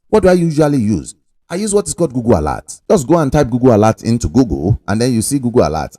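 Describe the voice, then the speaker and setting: A young man talks a viewer through a screen-recording tutorial in a lively, up-and-down voice with a salesman's push. His tone is mellow and relaxed rather than bright. It is recorded dry and close to a desk mic. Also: mid-range voice, dry recording. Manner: animated, talks to the viewer directly, pushy salesman-like emphasis. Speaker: online money-making tutorial host.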